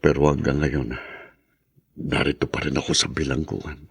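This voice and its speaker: A man's voice: a bass voice, flat delivery, moderate pace, gravelly, breathy edge, full character voice. An actor playing an embittered, despairing man long in prison, in melodramatic radio drama style. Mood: bitter, resigned. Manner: brooding, anguished.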